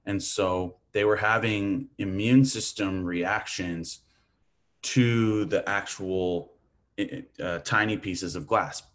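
A man's voice: sits low, steady tone, slow, fairly bright tone, slight background noise. A man from Hawaii giving an academic lecture over a video call, talking loosely with fillers like "like" and "um". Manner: casual, thinking aloud with fillers. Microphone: video call webcam mic.

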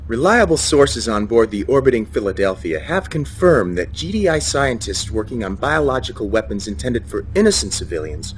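An actor playing a formal news anchor in a video game cutscene. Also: male voice, mid-range voice, sing-song, moderate pace, few pauses, noisy background, lightly acted. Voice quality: formal newsreader delivery.

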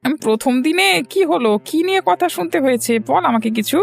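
Man voicing a fast-talking, creaky-voiced humble older brother. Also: extremely high pitch, lively intonation, exaggerated voice. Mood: concerned. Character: humble hardworking older brother who sells street snacks. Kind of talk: cartoon moral story voice acting.

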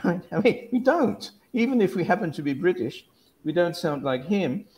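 A man's voice: high voice, big pitch swings, normal speed, darkish tone. Older male academic, rambling and self-correcting, casual talk over a video call from a home study. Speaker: guest academic.

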